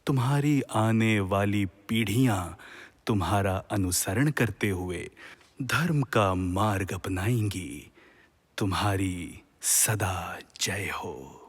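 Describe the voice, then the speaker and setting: A man plays a wise, serene deity from a mythological radio play. The voice is low and a little husky, with very unhurried delivery. Every phrase is solemn, formal and measured, like counsel to a young warrior. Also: full character voice. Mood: approving, prophetic. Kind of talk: Hindi mythological audio drama.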